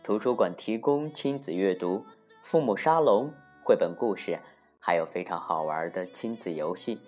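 Very low-voiced woman, animated storyteller. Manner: animated, deliberate, dramatic emphasis. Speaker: children's storyteller. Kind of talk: expressive children's folktale narration. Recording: muffled lo-fi recording with music.

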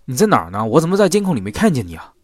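A man playing a gruff, protective young man in love in an audio drama, with a husky voice. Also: mid-range voice, huge pitch swings, very fast, dry recording, clean studio sound. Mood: urgent, ardent.

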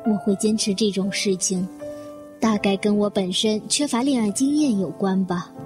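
A woman's voice: medium pitch, normal speed, lightly acted. A woman playing a bitter, self-deprecating career secretary in an audio drama, giving a brooding, wistful inner monologue. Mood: resigned. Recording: music behind the voice.

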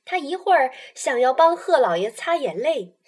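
Animated high-voiced woman storyteller. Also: normal speed. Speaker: storyteller narrator. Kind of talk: animated narration for a children's radio play.

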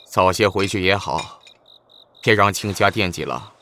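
A man's voice: sits low, measured pace, sharp tone, slight background noise, heavily acted. A man playing a mild older father-in-law in a Chinese period audio drama. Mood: mild, caring. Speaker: voice actor in an amateur audio drama.

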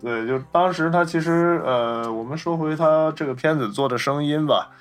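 A man's voice: fairly high pitch, normal speed, soft background music. A man co-hosting a podcast, explaining points about film in a casual, conversational discussion style. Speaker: podcast co-host.

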